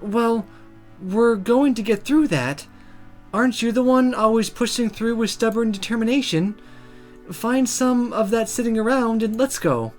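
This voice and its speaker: A woman's voice: a little high, measured pace, darkish tone, faint music behind, pitched above the performer's own voice, natural voice. A woman voicing a peppy, pushy school friend in an emphatic, urging way for a visual novel. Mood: encouraging.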